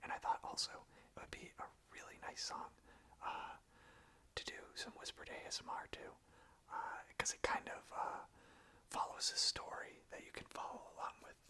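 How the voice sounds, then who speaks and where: An ASMR host speaking informally in a breathy, unvoiced whisper, with a soft, gentle and hesitant delivery. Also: moderate pace.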